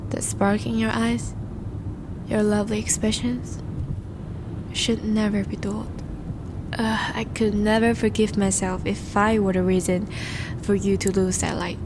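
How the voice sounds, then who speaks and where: Woman acting a slow, courtly, charming vampire. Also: medium pitch, level tone, background noise, natural voice. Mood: tender, wistful. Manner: formal, courtly, gentle phrasing with soft sighs. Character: courtly, charming vampire, tender and devoted suitor. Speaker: audio roleplay voice actor. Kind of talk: scripted romantic audio roleplay, addressed to the listener.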